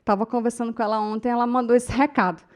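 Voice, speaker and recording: A Brazilian woman in middle age leads a class on speaking in public. Her voice sits high, and she tells stories with strong feeling, turning often to her listeners. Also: fairly even intonation, quick pace. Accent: Brazilian Portuguese. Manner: emotive storytelling, addresses the audience as "gente". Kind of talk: lecture to a class.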